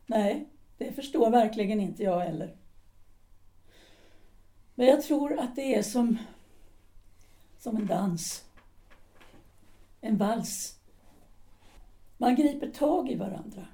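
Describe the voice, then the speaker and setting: A woman's voice: fairly high pitch, lively intonation, long pauses, warm tone. A woman playing a bitter, cold wife. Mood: bitter reflective. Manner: cool, dry, cutting. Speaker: Alice. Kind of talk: audio drama acting.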